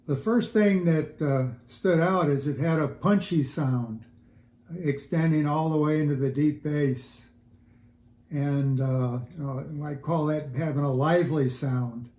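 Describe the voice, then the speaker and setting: An older man chatting casually in an interview as a hobbyist, with a halting, hesitant delivery. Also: a little high, darkish tone. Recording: roomy echo, faint music.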